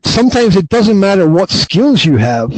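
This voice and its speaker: A man's voice: sits high, very animated, normal speed, a little mellow, no echo, rough recording. A man coaching over a video call from home, talking casually and often repeating words as he restarts. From Britain. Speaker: career and language coach. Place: home with kids nearby.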